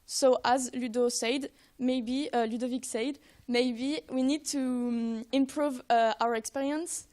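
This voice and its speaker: A woman's voice: high-pitched, steady tone, measured pace, hardly any pauses, darkish tone. A young woman, a student presenter, summarizing research results in a hesitant manner with simple non-native English phrasing. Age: young adult.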